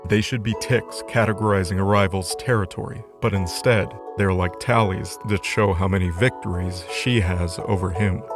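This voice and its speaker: A man's voice: sits low, steady tone, moderate pace, few pauses. A man narrating a scripted fantasy story as the narrator of an audio drama. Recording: music under the voice.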